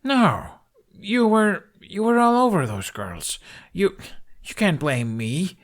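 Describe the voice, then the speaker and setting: A man's voice: high voice, huge pitch swings, takes it slowly, pitched above the performer's own voice, exaggerated voice. A man voicing an impatient, indignant teenage boy dragon rider in an audiobook, protesting in an exasperated, emphatic way.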